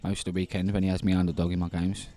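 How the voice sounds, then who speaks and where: A man's voice: low voice, flat delivery, rapid-fire, dark tone. A man, co-commentating pool in casual, informal chat and talking through shots. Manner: casual, informal, laughs easily. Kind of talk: live stream commentary chat.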